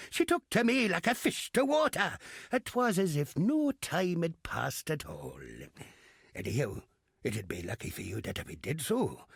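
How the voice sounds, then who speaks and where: Casting: bawdy, roguish old pirate captain in a game; heavy rasp with gravel, stage pirate accent, salty swagger, close dry sound. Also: male voice, on the high side, fairly bright tone, no room sound, exaggerated voice. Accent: stage pirate English. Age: older. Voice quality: raspy, gravelly. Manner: salty, theatrical, swaggering delivery with archaic slang. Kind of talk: video game character acting.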